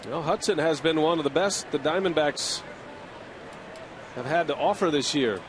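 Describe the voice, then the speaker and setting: Play-by-play man for a ball game, measured delivery, slightly high voice, noisy broadcast feed. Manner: steady, measured game calling. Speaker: play-by-play announcer. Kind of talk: baseball play-by-play commentary.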